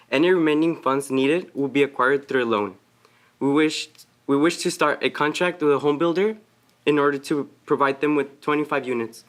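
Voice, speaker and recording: A young man from Texas reads out costs and profits for a student business pitch. His delivery is measured and mid-pitched, with a few small stumbles. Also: a bit bright. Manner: measured, reading figures with slight stumbles. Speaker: student presenter. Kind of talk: reading a prepared presentation.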